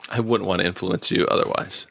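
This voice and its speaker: A man's voice: low voice, steady tone, a little fast, vocal fry, fairly bright tone, no echo, studio quality. A man co-hosting a podcast, casually reviewing a TV episode in a joking manner. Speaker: podcast co-host.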